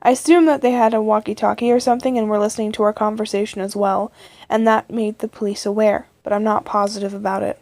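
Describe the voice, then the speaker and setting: A woman's voice: medium pitch, fairly even intonation, fast talker, darkish tone, no room sound. A woman narrating a first-person scary story in an informal, conversational way.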